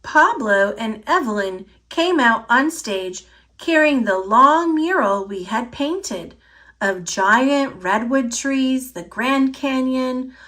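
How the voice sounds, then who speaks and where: A woman reading a picture book aloud for children, with deliberate, clearly phrased, expressive narration. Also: high-pitched.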